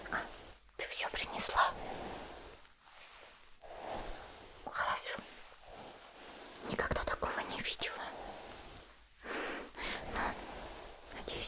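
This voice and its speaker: A woman playing a sweet, chatty young anime character in an ASMR roleplay, whispering softly and gently as she tends a friend's hair. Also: close to an everyday voice. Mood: caring, chatty. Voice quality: soft, breathy whisper. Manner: gentle, unhurried, cooing.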